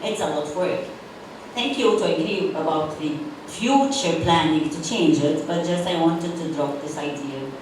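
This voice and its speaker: A woman's voice: sits low, normal speed. Woman from Syria, English, earnest panel comment, distant mic in a noisy echoey hall. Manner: earnest, non-native phrasing. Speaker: audience member. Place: university auditorium.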